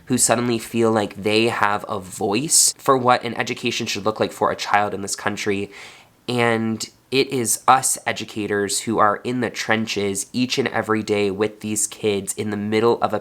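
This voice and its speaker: A man's voice: lowish voice, fairly bright tone. A young man, a fourth grade teacher, talking earnestly in a personal vlog, sounding congested. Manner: earnest, heartfelt.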